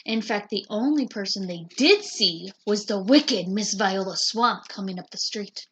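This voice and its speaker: A woman teacher reading a picture book aloud in a slow, deliberate storytelling manner. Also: medium pitch, a bit bright, a little hiss or hum.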